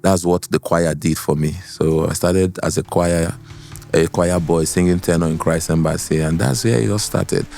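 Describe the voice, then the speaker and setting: A Nigerian man with a bass voice, telling his story casually as a guest in a studio interview and slipping into Pidgin. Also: fairly even intonation, normal speed, creaky, darkish tone, slight background noise. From Port Harcourt, Nigeria. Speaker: guest musician, singer. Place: podcast studio.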